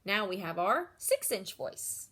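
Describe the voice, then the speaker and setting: A woman reading a children's picture book aloud like a teacher, expressive and encouraging, putting questions to her young listeners. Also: medium pitch, unhurried, breathy edge. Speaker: read-aloud storyteller and teacher.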